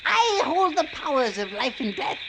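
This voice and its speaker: An actor playing a mad lighthouse keeper, gleefully menacing and taunting in sing-song vintage radio horror style. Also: male voice, extremely high pitch, sing-song, normal speed, vocal fry, heavily acted. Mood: gleeful madness. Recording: noisy old radio drama recording.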